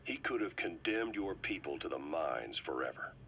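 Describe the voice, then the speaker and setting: A shrewd interrogator in a dramatic video game, with a very low voice on a dull tape with faint hiss. He is measured and sardonic, pressing each point dryly. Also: big pitch swings, creaky, heavily acted. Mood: somber, pointed.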